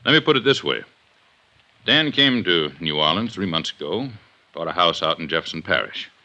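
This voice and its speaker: An actor playing a gruff, middle-aged, gray-haired police inspector in a 1950s radio drama, blunt and insistent. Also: male voice, low-pitched, expressive, normal speed, bright, forceful tone, heavily acted. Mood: probing, placating. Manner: blunt, insistent, clipped questioning. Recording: dull vintage 1950s radio recording.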